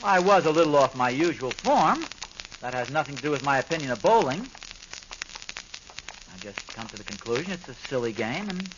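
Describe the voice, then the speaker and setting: A man's voice: mid-range voice, lively intonation, fairly quick, long pauses, close to an everyday voice. A man acting a defensive, stubborn middle-aged husband and father in an old-time radio situation comedy. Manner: grumbling, protesting, self-justifying. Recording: muffled, crackly old radio recording.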